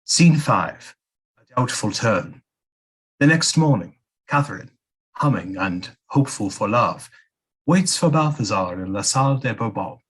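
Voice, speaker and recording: A man's voice: fairly low pitch, lively intonation, measured pace, long pauses, a bit bright, husky, rough recording. A man reading scene descriptions as the narrator of a scripted audio play.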